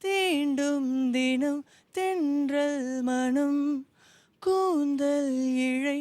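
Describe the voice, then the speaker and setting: High-voiced woman singing, very slow. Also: a little mellow, dry recording, soft background music. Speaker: singing contest contestant. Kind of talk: audition singing of Tamil film songs.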